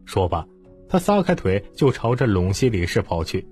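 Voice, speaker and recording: A man's voice: lowish voice, sing-song, moderate pace, darkish tone. A man narrating a story in a dramatized, scripted audiobook style. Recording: music bed behind the voice.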